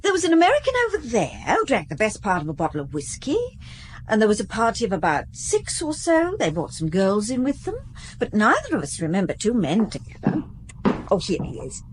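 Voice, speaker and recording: An actress playing a club owner's wife in a radio drama, politely and eagerly explaining. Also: female voice, medium pitch, wildly swooping pitch, fairly quick, slight background noise, low-quality recording.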